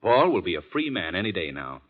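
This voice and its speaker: Suave, low-voiced gentleman sleuth. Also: sing-song, brisk. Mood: assured, pleased. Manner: urbane, polished, explanatory. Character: suave, assured gentleman amateur sleuth, adult man. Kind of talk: 1940s radio drama acting. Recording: muffled vintage 1940s radio recording.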